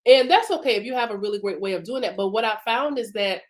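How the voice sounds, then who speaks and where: A woman's voice: medium pitch, lively intonation, quick pace. Chatty congested woman coach. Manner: chatty and informal, many fillers, addresses viewers as y'all. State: congested, with a cough and sore throat. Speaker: business coach hosting a live broadcast. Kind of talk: casual live-stream teaching.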